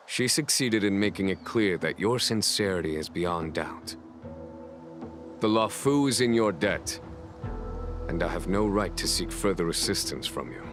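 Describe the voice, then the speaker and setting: Low-voiced army general for a game, formal n measured, music behind. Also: male voice. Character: composed, courteous male military general, measured and authoritative. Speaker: voice actor. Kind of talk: scripted game cutscene dialogue.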